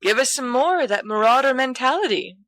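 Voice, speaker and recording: A woman's voice: high voice, animated, brisk, a bit bright, no echo, pitched above the performer's own voice. A woman voicing a mild, dry-witted teenage schoolboy with an understated teasing manner in an audiobook story. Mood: encouraging.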